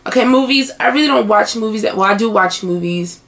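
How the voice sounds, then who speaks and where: A young woman vlogging in a small bedroom, hoarse and chatty as she answers tag questions to her webcam. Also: mid-range voice, fast. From United States. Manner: chatty, animated hand gestures. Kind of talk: casual chat.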